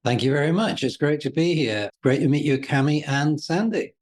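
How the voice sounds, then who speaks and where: A man's voice: a little high, normal speed. A middle-aged man with an English northeast accent, a guest answering interview questions in a plain-spoken, lightly humorous way. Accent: English, northeast England. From northeast England. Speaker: podcast guest.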